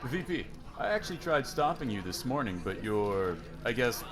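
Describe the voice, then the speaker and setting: A man's voice: medium pitch, very animated, moderate pace, a crowd in the background, natural voice. A man playing a friendly new salesman in an audio roleplay, speaking in a casual, easygoing way.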